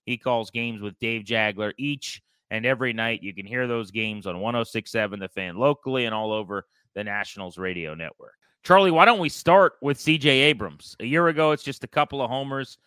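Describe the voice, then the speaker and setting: A man's voice: mid-range voice, a little fast, hardly any pauses, bright, forceful tone, smooth, dry recording. An American man hosting a baseball show, setting up questions in a sports talk style.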